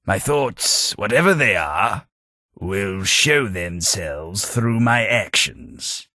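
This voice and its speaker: A man's voice: fairly low pitch, lively intonation, takes it slowly, bright, forceful tone, dry recording, clean studio sound, exaggerated voice. An actor with an English accent playing a polite, dryly witty undead male clerk in a game, in refined speech. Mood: weary. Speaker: companion character. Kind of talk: game voice lines.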